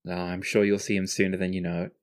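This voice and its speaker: A man playing a wry, gallant friend who teases gently and mocks himself, in improvised tabletop roleplay with dry, deliberate phrasing. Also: sits low, flat delivery, lightly acted. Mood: gently teasing. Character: wry, gallant male friend, gently teasing, self-mocking.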